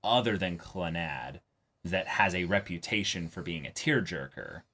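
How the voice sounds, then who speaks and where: A man with a very deep yet bright, forceful voice. He talks casually as a reaction-show co-host, working out his thoughts aloud and hedging along the way. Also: lively intonation, moderate pace. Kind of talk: casual discussion of an anime episode.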